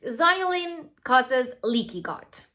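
A woman's voice: high voice, slow pace. A woman in her thirties from Venezuela with a Venezuelan Spanish accent, Spanish her first language, talking playfully to camera in a plain studio as a skincare founder. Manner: playful, animated, drops to asides and whispers. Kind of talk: scripted talk to camera. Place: plain studio backdrop, indoors.

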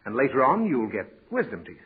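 A man's voice: medium pitch, huge pitch swings, a little fast, breathy edge, heavily acted. An actor playing a sentimental, easily flustered father who lectures his daughter in a 1940s radio comedy. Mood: fussy. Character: patient, fussy father of a naughty girl. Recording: tinny old radio broadcast with faint hiss.

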